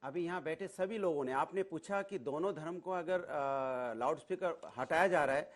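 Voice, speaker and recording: A man's voice: fairly high pitch, moderate pace. A man arguing insistently as a party spokesman in a political TV debate, often repeating his phrases.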